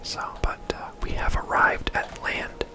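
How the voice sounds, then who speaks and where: An ASMR video host whispering casual, rambling gameplay commentary in a breathy, unvoiced voice. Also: a little slow. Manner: soft-spoken, rambling, casual.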